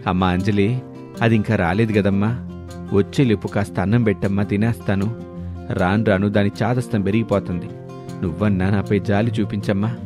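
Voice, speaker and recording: A man's voice: on the low side, steady tone, brisk, over music, pitched below the performer's own voice, natural voice. A man voicing an exasperated, grumbling middle-aged husband, plaintive and henpecked, in a cartoon moral story. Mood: plaintive, hungry. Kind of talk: dramatic Telugu moral story cartoon dialogue.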